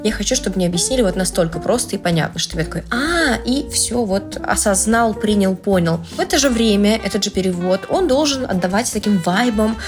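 A young woman from Russia, a translator, explaining things in a casual, playful vlog with slangy asides and animated emphasis on key words. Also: mid-range voice, a little fast, few pauses. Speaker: translator and Italian language blogger. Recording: music playing loudly under the voice. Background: background music.

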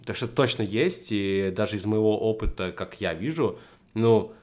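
A man's voice: fairly low pitch, moderate pace, a little mellow. A young man in a casual conversation, explaining his points in a structured way with frequent fillers. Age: young adult. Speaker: podcast guest.

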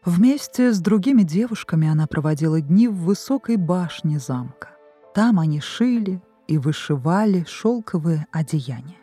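Husky, mellow woman telling a fairy tale. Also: fairly low pitch. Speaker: storyteller, voice artist. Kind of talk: scripted fairy-tale narration. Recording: music behind the voice. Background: background music.

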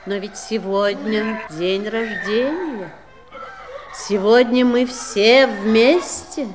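Slow, solemn wise female cobra. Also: fairly high pitch. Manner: measured, incantatory, solemn. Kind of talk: 1960s audio play acting. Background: instrumental music.

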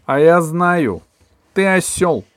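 A man voicing an exasperated mother who scolds her son in a sharp, raised voice. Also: medium pitch, unhurried, dry recording, studio quality, pitched above the performer's own voice, close to an everyday voice.

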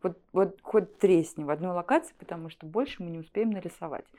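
A woman's voice: lowish voice, fast, mellow. A woman speaking as a studio guest in an interview, explaining things conversationally with examples.

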